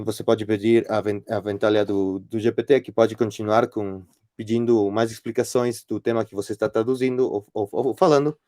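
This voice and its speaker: A middle-aged man with a Spanish accent, speaking as a non-native and giving a workshop presentation as guest lecturer, halting often with fillers and restarts. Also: mid-range voice, darkish tone, no room sound, studio quality. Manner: hesitant, many restarts and fillers.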